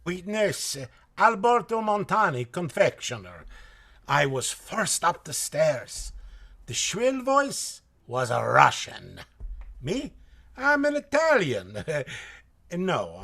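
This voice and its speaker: An actor in a live radio drama playing excitable, halting foreign witnesses with emphatic, theatrical delivery. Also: male voice, mid-range voice, creaky, exaggerated voice.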